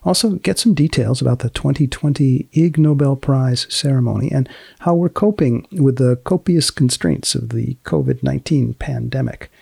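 A man hosting a podcast in a deadpan comic style, with deliberate, wry, mock-formal phrasing. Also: mid-range voice, vocal fry, husky, no room sound, clean studio sound. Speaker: podcast host. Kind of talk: deadpan comic interviewing and sign-off.

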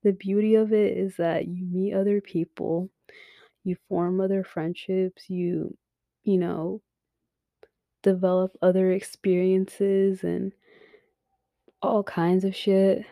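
A woman's voice: medium pitch, level tone, slow, creaky, pauses often, dark tone, no room sound, studio quality. A young woman hosting a podcast, giving frank advice in a casual monologue full of fillers and swearing, sometimes dropping to a whisper, her voice worn as she loses it. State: losing her voice. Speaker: podcast host.

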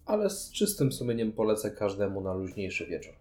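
A man's voice: on the low side, big pitch swings, fairly quick, darkish tone, echoey room, faint music behind. A man chatting casually as co-host of a board game review show. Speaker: podcast co-host.

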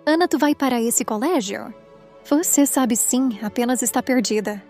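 Actress as dramatic, bossy Brazilian schoolgirl for audio drama, high voice, pushy tone. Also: moderate pace, darkish tone, faint music behind, lightly acted. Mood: pushy, pleading. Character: bossy, dramatic teenage girl friend, pushy.

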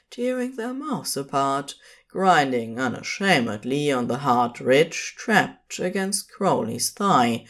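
A woman reading scripted fiction as the narrator of an audio story, in a dramatic storytelling style. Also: rumbling low.

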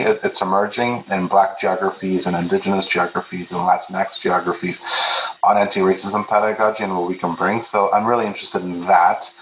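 A man's voice: lowish voice, fairly bright tone, a little hiss or hum. A young man from Peru, a native Spanish speaker, talking earnestly as an interview guest and often repeating words as he starts a thought. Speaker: guest researcher. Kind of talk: casual interview talk. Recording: echoey room.

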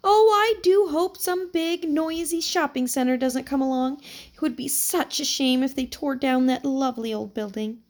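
A woman voicing a frail, kindly old lady of ninety-two with a feeble, high-pitched, gushing voice. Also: darkish tone. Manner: chirpy, slow and gushing.

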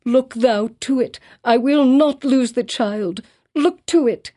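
Frantic young mother, high and pleading, read by a woman narrator. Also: a little slow, warm tone, natural voice. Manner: pleading, rising to a near shriek. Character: desperate young mother defending her child.

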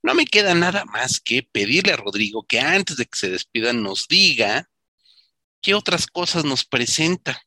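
Bright, forward-voiced Mexican man hosting casually. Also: medium pitch, big pitch swings, normal speed, dry recording. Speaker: podcast host. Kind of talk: casual conversational panel talk.

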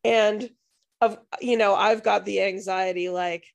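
Hoarse woman with a gravelly voice. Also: mid-range voice, fairly even intonation, unhurried. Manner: rambling, self-interrupting storytelling. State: hoarse, losing her voice. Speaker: podcast co-host and music teacher. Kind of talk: casual podcast chat.

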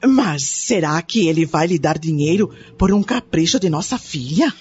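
A woman's voice: deep voice, lively intonation, moderate pace, darkish tone, highs cut off, slight background noise. A woman playing a protective, fretful wife and mother in a radio drama. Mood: worried.

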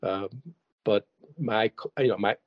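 A man's voice: fairly low pitch, a little slow, no room sound, highs cut off. A man whose first language is German, a songwriter answering interview questions casually, hesitant with many restarts and fillers. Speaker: songwriter and guest.

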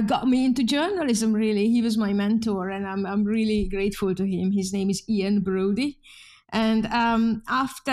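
A woman's voice: mid-range voice, a little mellow. A Hungarian woman in her fifties with a Hungarian accent, a journalist telling her story as a podcast guest in loose conversational speech. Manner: conversational, many restarts, frequent laughter. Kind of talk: podcast interview.

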